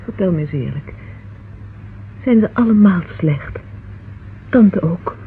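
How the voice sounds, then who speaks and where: A woman's voice: lowish voice, expressive, slow, slight background noise. An actress playing an anxious, affectionate young woman in a Dutch radio drama, hesitant and confiding. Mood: worried. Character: anxious, affectionate young sweetheart of a police inspector. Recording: muffled, husky-sounding old radio recording.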